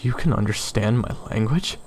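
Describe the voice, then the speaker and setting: A man's voice: on the low side, moderate pace, background noise, lightly acted. A man playing a blustering but nervous human scout in a fantasy audio drama. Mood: taken aback. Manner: bravado that gives way to stammering.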